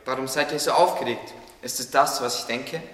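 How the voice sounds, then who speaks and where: A man's voice: mid-range voice, fairly even intonation, brisk, a bit bright, close to an everyday voice. A man playing a thoughtful, devout gardener in a German radio play. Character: thoughtful, devout male gardener, friend to animals. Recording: echoey hall, clear background noise.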